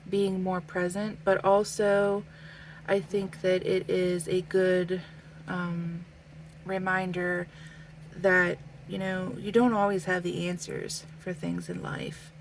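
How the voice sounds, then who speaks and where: A woman's voice: on the low side, little pitch movement, gravelly, a little hiss or hum, poor audio. A young adult woman vlogging as she draws, talking casually and thoughtfully with lots of fillers, a little sniffly. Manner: slow, thoughtful, with many fillers. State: sniffly with a stuffy nose. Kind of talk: casual talk while drawing.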